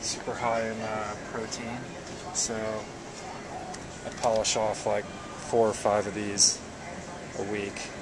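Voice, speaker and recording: A man in his thirties, an athlete giving relaxed nutrition tips, talking on a handheld camera mic inside a grocery store. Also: lowish voice, slow, long pauses. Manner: relaxed, explanatory, loose with fillers. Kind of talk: casual handheld grocery tour. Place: indoor grocery store, air conditioning hum. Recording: loud store background noise.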